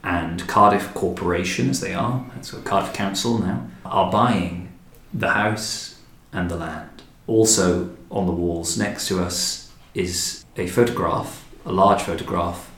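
Low-voiced man, measured, in echoey room. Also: fairly bright tone. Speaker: podcast host, historic house director. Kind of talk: descriptive, conversational narration. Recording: roomy, echoing indoor sound.

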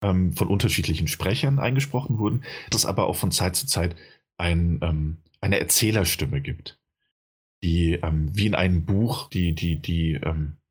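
Low, husky-voiced man, casual chat, rambling with fillers, game talk. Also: normal speed. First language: German. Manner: rambling, many fillers and restarts. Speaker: game reviewer.

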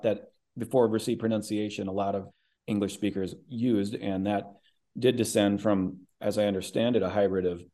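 Low, mellow-voiced Midwestern man. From American Midwest. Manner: thoughtful, rambling with many restarts. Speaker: voice actor. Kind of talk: interview guest talk.

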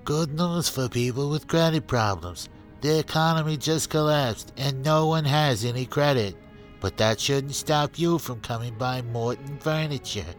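Upbeat store pitchman played by a man: mid-range voice, 1930s radio ad parody, light music underneath. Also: a little slow, close to an everyday voice. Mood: upbeat selling. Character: upbeat male furniture store radio advertiser.

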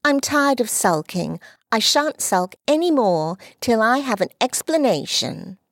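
A woman voicing an earnest, jealous little girl in an audiobook, high and eager. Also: very animated, measured pace, dry recording, studio quality, exaggerated voice. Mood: determined. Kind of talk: audiobook character voice.

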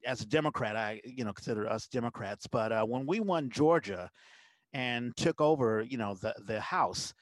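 Male host, mid pitch, casual and emphatic interview chat, very little room sound. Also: moderate pace, no room sound. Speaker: podcast host.

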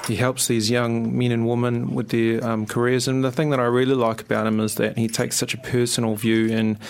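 A male radio host talks loosely in the studio. He fills his pauses with "um" and "uh" and keeps the chat friendly. His voice sits at an ordinary middle pitch. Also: level tone, creaky, slight background noise. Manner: chatty, filler-heavy. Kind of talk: radio talk show.

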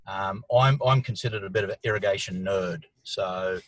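A man's voice: deep voice, normal speed, dry recording, poor audio. A man from the outback, a technical expert who answers questions in a plain, practical way with "um" fillers, recorded inside a vehicle. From far western New South Wales, Australia. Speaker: irrigation technical manager, guest expert. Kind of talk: interview answers.

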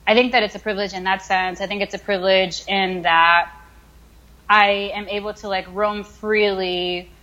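A woman's voice: medium pitch, level tone, normal speed, sharp tone, lo-fi sound. A young woman in her twenties from the United States, talking casually as an interview guest, with many fillers as she thinks aloud. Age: 20s. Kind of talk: casual conversation.

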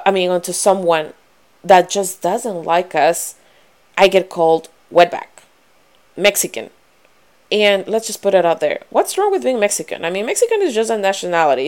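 A young woman from Ecuador with a strong Ecuadorian Spanish accent, talking earnestly to her viewers, pausing and restarting as she goes. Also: on the low side, smooth. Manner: earnest, frequent restarts and fillers, grows animated. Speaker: vlogger. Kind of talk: personal talk to camera.

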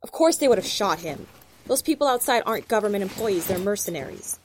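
A woman playing a brisk, commanding FBI agent in an audio drama, terse and authoritative. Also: medium pitch, expressive, background noise, natural voice. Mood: dry, firm.

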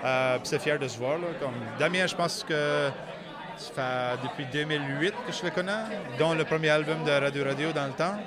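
Acadian man, fairly high voice, casual interviewee with English fillers, lively crowd behind. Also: fairly quick. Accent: Acadian French. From Nova Scotia, Canada. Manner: drops English "so" into sentences. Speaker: musician interviewee. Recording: busy crowd noise around.

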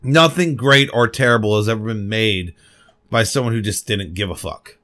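A man's voice: on the low side, moderate pace, fairly bright tone. A man hosting his own solo podcast, talking at a desk microphone in a rambling, candid and passionate way, with casual swearing. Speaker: podcast host. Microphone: desk microphone at a computer.

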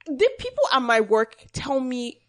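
A woman co-hosting a podcast, chatting casually with quick interjections. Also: sits high, sing-song. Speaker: podcast co-host. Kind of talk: casual chat.

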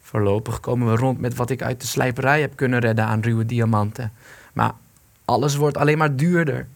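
Bitter, creaky-voiced man in hiding played by an actor. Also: medium pitch, steady tone, a little fast, close to an everyday voice. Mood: indignant. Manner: sarcastic, agitated. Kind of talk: Dutch radio play acting.

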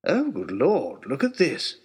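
A man's voice: medium pitch, wildly swooping pitch, takes it slowly, darkish tone, slightly rough, heavily acted. A man voicing a haughty, irascible English lord with an upper-class accent and a blustering, dismissive manner. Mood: exasperated.

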